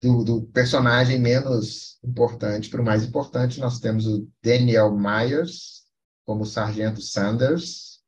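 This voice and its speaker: Slow, unhurried man running a quiz. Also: medium pitch, fairly even intonation, a little mellow. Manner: playful, teasing, reads film credits haltingly. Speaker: quiz host on a film podcast. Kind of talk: casual podcast chat.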